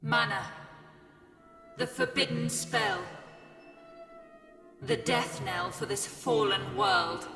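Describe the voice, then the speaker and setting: An actress playing a cold, imperious, pitiless supernatural ruler in a dramatic fantasy game. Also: female voice, fairly high pitch, slow, pauses often, bright, forceful tone, full character voice. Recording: echoey hall reverb, distant, music behind.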